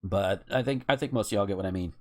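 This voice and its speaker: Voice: deep voice, fast. An American speaker in casual, opinionated political chat, speaking emphatically and swearing freely. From United States. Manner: emphatic, profane, outspoken. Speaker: podcast co-host.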